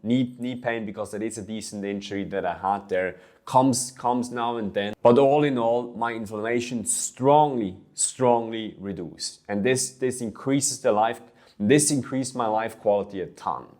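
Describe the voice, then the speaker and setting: A man's voice: lowish voice, unhurried, darkish tone. A young man from Switzerland, a fitness coach, talking earnestly about his own experience in a vlog, with small restarts as he goes. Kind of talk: personal vlog monologue.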